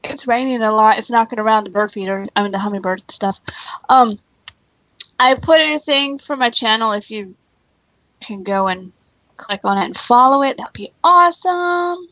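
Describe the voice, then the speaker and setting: A woman's voice: fairly high pitch, normal speed, fairly bright tone, no echo, lo-fi sound. A woman co-hosting a livestream and chatting casually. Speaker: livestream co-host. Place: indoors, live stream.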